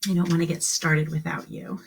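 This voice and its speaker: A woman's voice: sits low, flat delivery, fairly quick, creaky, a little hiss or hum. A woman teaching kindergarten on a live stream, speaking encouragingly to children in simple questions and instructions.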